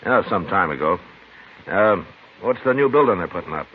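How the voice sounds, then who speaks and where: Very deep-voiced, gruff frontier marshal. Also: male voice, lively intonation, normal speed. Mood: casual. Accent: American. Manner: dry, laconic, skeptical. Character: gruff, dry, world-weary frontier US marshal. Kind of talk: 1950s radio western acting and narration. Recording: muffled, hissy old radio broadcast recording.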